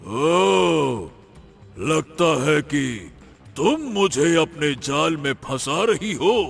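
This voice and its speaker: Cartoon demon: gravelly, blustering and gullible, swinging widely in pitch. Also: male voice, big pitch swings, full character voice. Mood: wary, boastful. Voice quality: raspy, gravelly. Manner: slow, drawn-out, blustering threats with gloating laughter. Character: boastful, menacing, gullible male demon. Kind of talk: exaggerated Hindi cartoon story acting. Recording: music behind the voice.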